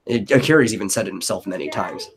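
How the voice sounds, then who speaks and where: A man's voice: lowish voice, animated, fast talker, a little hiss or hum. A man in a casual brainstorming chat, explaining things and thinking aloud as a stream host.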